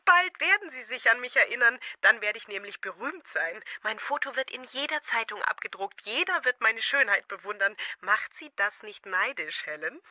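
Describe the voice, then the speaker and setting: An unhinged caller in a radio thriller, taunting and gloating with theatrical relish. Her high voice swoops around with a sharp, bright edge. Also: lively intonation, normal speed, few pauses. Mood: mocking, gloating. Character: menacing, mocking, unhinged woman caller, gloating. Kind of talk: German radio thriller acting.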